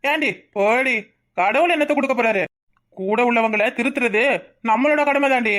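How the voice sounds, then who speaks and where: A man's voice: very high-pitched, moderate pace, a bit bright. A man playing a hardworking, resolute village husband in a family drama, firm and declamatory. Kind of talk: Tamil family drama dialogue.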